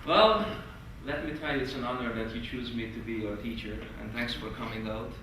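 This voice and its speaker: A man's voice: medium pitch, a little fast. A man with a Hungarian accent, whose first language is Hungarian, teaches a class with earnest, eager emphasis and a mid-range voice. He sounds far from the microphone, and the big hotel room rings with heavy echo. Faint room noise sits underneath. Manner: earnest, non-native phrasing, lively emphasis. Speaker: stage hypnosis instructor. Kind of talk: teaching a course to a group. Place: large hotel meeting room. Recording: echoey hall recorded from far off.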